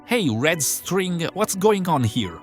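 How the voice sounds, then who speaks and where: A man's voice: sits high, sing-song, slow pace, full character voice. Guy doing a sly show-off bird, theatrical, voice swooping up and down, faint music under it. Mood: boastful. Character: boastful, sly trumpet-blowing bird. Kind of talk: audio play acting. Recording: music behind the voice.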